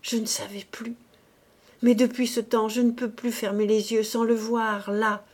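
A woman's voice: a little high, little pitch movement, a little slow, darkish tone. A woman voicing a distraught, guilt-ridden married woman who pleads and confesses feverishly, in dramatic audiobook style. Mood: haunted, agitated. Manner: pleading, exalted, breaking into whispers. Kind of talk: dramatic audiobook character acting.

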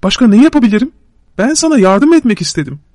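A man's voice: very high voice, big pitch swings, fast, darkish tone, dry recording, studio quality, pitched above the performer's own voice. Man doing a whiny boastful young vagabond. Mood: petulant. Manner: pleading, indignant, talks down. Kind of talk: audiobook character voice.

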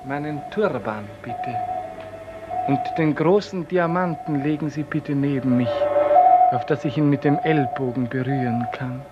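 An actor playing a tyrannical, capricious director-general in a pompous, theatrical 1950s German radio drama manner. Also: male voice, medium pitch, measured pace, over loud music, close to an everyday voice. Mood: pompous, self-satisfied. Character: tyrannical, capricious, self-important male director-general ruler. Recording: noisy, muffled vintage radio recording.

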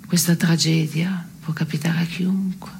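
An actress playing a dry, needling woman in absurdist theatre, clipped and deadpan, often slipping toward a whisper. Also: fairly low pitch, measured pace, vocal fry, a little hiss or hum. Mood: deadpan, dark. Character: dry, needling woman musing darkly on morals. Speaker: stage actress. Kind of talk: scripted absurdist theatre dialogue.

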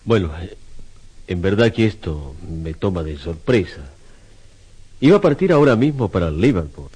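An actor in a radio drama playing a hesitant, excitable sculptor, formal and halting in manner. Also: male voice, deep voice, husky, heavily acted. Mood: hesitant, doubtful. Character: hesitant, sceptical male sculptor, excitable when inspired.

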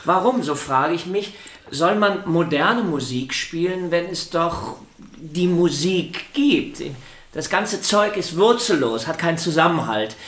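A famous violinist who is eager to please his guest. He stammers over his words in a fairly high voice throughout this radio drama. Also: expressive, measured pace, a bit bright. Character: famed male violinist, eager, deferential host.

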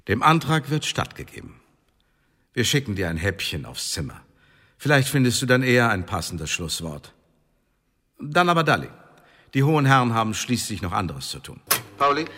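An actor in a German radio drama playing a cold, mocking secret service tribunal chief, officious and commanding. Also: male voice, mid-range voice, fairly bright tone. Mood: brisk, condescending. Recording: echoey hall, clear background noise.

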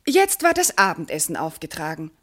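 An actress playing a proud, loving, wounded noble wife in classical verse radio drama, speaking in an earnest, elevated way. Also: female voice, lowish voice, big pitch swings, brisk, fairly bright tone. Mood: tender recounting.

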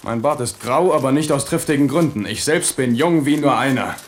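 A man's voice: medium pitch, fairly quick, close to an everyday voice. An actor in a German radio drama playing an aging, battle-hardened king who is stubborn and determined in his courting. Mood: brooding, determined. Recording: older studio radio recording with hiss.

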